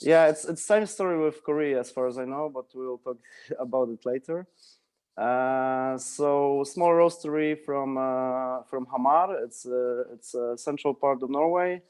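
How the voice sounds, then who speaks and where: Smooth-voiced hesitant man. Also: medium pitch, unhurried, a little mellow. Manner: hesitant, frequent uh fillers. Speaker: panelist. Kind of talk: self-introduction on a video call panel.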